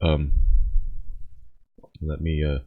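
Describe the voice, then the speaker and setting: A man chatting casually to viewers in a sleepy, halting way as he hosts a reaction video. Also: a bass voice, flat delivery, dry recording, phone-quality sound. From lives in Korea. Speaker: host, reacting to game cinematics.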